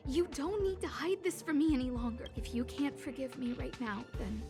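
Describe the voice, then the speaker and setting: A woman playing an earnest, apologetic young noblewoman in a video game, anxious and dramatic, pleading in halting, emphatic phrases. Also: high voice, lively intonation, music in the background. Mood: earnest, insistent.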